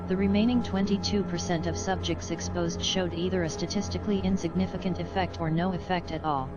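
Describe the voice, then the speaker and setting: A woman's voice: lowish voice, steady tone, a little fast, lo-fi sound. A woman reading a scripted document as narrator, in a formal, clinical manner. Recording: music behind the voice. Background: prominent music.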